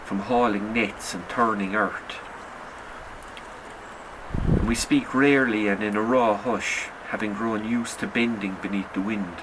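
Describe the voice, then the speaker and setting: A man reading a literary short story in a sombre, measured storytelling manner. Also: lowish voice, steady tone, noisy background. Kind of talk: literary audiobook narration.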